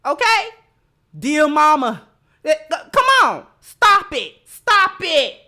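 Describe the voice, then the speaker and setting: High, bright-voiced American woman. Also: big pitch swings, slow. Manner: emphatic, repeats phrases for effect; informal colloquial speech. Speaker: livestream host. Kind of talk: opinionated commentary, reading out viewer comments.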